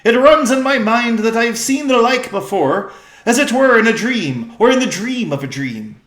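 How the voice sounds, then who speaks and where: A resolute young king from a storybook, put on by a man reading aloud. He speaks in stately, old-fashioned phrases, pitched high and emphatic. The sound is roomy. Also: sing-song, moderate pace, fairly bright tone, exaggerated voice. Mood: solemn. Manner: formal, old-fashioned speech. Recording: roomy echo.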